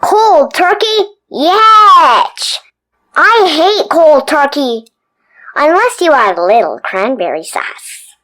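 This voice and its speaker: A woman voicing a loud, demanding male sweet tooth that wisecracks and nags for sweets. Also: high-pitched, animated, slow, pitched above the performer's own voice, heavily acted. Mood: greedy. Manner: brash, wisecracking, nagging.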